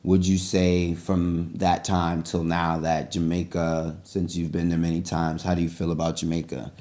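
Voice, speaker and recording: A man's voice: low voice, monotone, moderate pace, warm tone. A man co-hosting a podcast, asking questions in a friendly, teasing way. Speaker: podcast co-host. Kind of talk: casual podcast interviewing.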